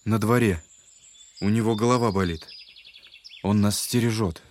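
An actor playing a gentle, devout and troubled young brother in an audio drama, speaking earnestly. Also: male voice, fairly low pitch, normal speed, a little hiss or hum, natural voice. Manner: earnest, soft-hearted, prayerful.

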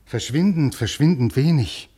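A man's voice: fairly high pitch, expressive, moderate pace, mellow, husky. An actor playing an anxious scholarly man who pleads and over-explains earnestly, in a 1950s German radio play. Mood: anxious, pleading. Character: anxious, pleading, scholarly male literary historian.

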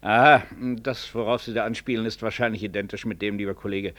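An actor playing an officious, insinuating medical professor in a 1960s radio drama, formal and probing in manner. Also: male voice, lowish voice, fairly even intonation, quick pace, a bit bright, lightly acted. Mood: sly, probing. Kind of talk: 1960s German-language radio drama acting.

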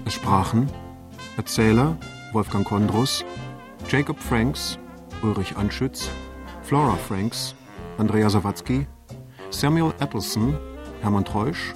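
Slow male announcer over music. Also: fairly low pitch. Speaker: credits announcer. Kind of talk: formal radio credits announcement. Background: music with brass and guitar.

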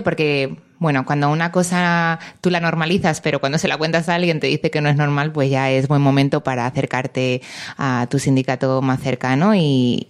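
A woman's voice: deep voice, clear, resonant tone. A woman hosting a radio show in a conversational, informal way.

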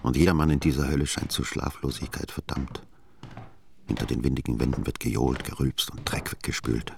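A man's voice: extremely low pitch, a little fast, vocal fry, noisy background, exaggerated voice. An actor playing a despairing, sleepless man in a bitter inner monologue for a literary radio drama. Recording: music behind the voice.

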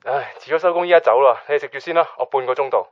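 A man's voice: medium pitch, very fast, highs cut off, close to an everyday voice. An actor playing a fussy, practical young man with a Hong Kong Cantonese accent, speaking matter-of-factly in a radio drama. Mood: hurried.

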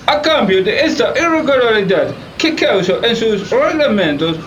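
A very high-pitched, scheming English gentleman. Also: sing-song, moderate pace, full character voice. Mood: courteous, sly. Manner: courteous, theatrical, gloating. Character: scheming, cheerful English gentleman smuggler, polite and smug. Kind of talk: radio drama acting. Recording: muffled lo-fi, distant, noisy background.

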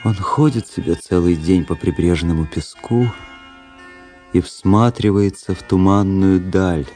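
A man's voice: sits low, steady tone, a little slow, dark tone. An actor playing a brooding, world-weary young officer, narrating his past in a reflective, literary radio drama style. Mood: restless, wistful. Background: music.

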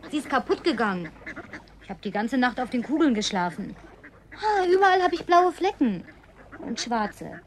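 High-voiced coquettish young-girlish woman. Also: big pitch swings, moderate pace, slight background noise. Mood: teasing, indignant. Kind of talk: naturalistic German radio play dialogue.

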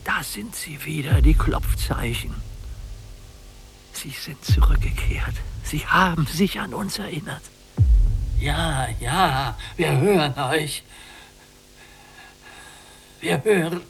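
An actor playing an old, nearly blind prisoner in a radio drama, musing in a halting, poetic way. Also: male voice, fairly high pitch, gravelly, a little hiss or hum. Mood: agitated, yearning. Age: older.

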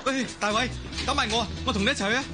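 An actor with a Hong Kong Cantonese accent playing an excitable, anxious young man, a loyal friend, in an animated radio drama style. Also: very high voice, rapid-fire. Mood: anxious, hurried. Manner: animated, exclamatory. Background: loud music.

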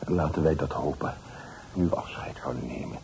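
A man's voice: rumbling low, normal speed, darkish tone. An actor playing a humble, chatty, gossipy man, deferential in manner, in a period radio drama. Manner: deferential, garrulous. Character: humble, chatty, gossipy male family acquaintance seeking his daughter. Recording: noisy old radio play recording.

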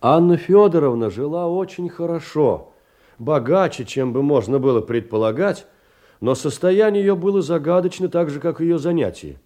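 An actor playing a narrator of a literary memoir in an audio drama, telling it in a dramatic, deliberate, theatrical way. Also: male voice, on the high side, mellow. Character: male narrator reading a young woman's sorrowful memoir.

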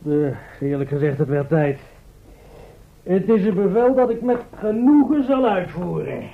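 A man's voice: sits high, animated, measured pace, gravelly, full character voice. A stubborn, dim-witted gatekeeper in a radio play. He talks bluntly in a slightly raised voice. The sound is narrow and tinny. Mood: gloating, amused. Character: surly, dim, gloating town gatekeeper. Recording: tinny, band-limited old radio sound.

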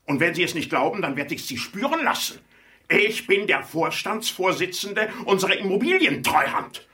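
A self-important male chairman in a radio play, a little away from the microphone. His voice is bright and forceful and swings animatedly in pitch. He is officious and easily flustered, with hesitant fillers breaking up his bluster. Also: sing-song, far from the mic, full character voice. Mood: indignant, threatening. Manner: pompous, officious, hesitating with filled pauses, increasingly exasperated. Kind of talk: 1980s German radio drama acting.

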